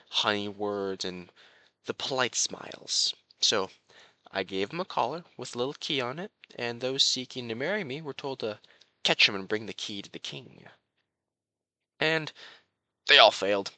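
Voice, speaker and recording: Guy doing a young royal prince for romance roleplay, super bright forceful voice, posh formal wording, lovestruck n a bit possessive, really dry close sound. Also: on the low side, no echo, heavily acted. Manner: formal, flowery, genteel speech. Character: courtly young crown prince, besotted, quietly possessive. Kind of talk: romantic audio roleplay addressed to the listener.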